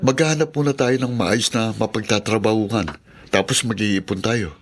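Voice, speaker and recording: A man's voice: fairly low pitch, steady tone, moderate pace. A man playing a steadfast, loyal young student, earnest and reassuring, in a Filipino radio drama. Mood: determined.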